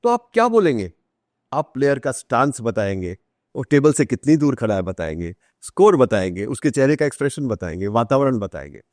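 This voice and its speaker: A man's voice: mid-range voice, very animated, fairly quick, a little mellow, dry recording, clean studio sound. A man with an Indian accent explains and tells anecdotes in conversation as an interview guest, emphatically, repeating phrases, asking rhetorical questions and slipping into English. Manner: mixes Hindi with English phrases.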